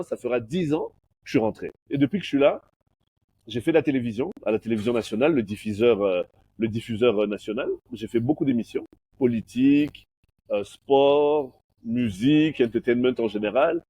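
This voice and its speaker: Homme bilingue qui passe du français à l'anglais. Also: male voice, medium pitch, dark tone, slightly rough. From born in Belgium, raised in Congo. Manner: switches between French and English mid-sentence. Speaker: guest, master of ceremonies. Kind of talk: casual interview chat.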